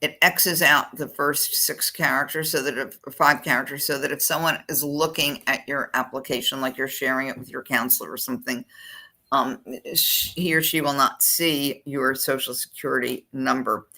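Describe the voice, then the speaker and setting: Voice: mid-range voice, fairly even intonation, normal speed, bright, forceful tone. A college admissions counselor giving a screen-share walkthrough of an application form, thinking aloud while clicking through the fields.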